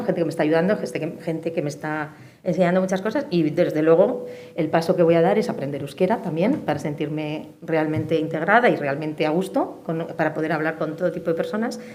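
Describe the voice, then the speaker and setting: A woman's voice: sits low, fairly quick, warm tone. A middle-aged woman with an accent from Spain, giving personal testimony as an activist on a forum panel. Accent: Spanish from Spain. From Spain, Madrid and the Basque Country. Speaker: panelist, peace movement activist. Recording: clear background noise and room ambience.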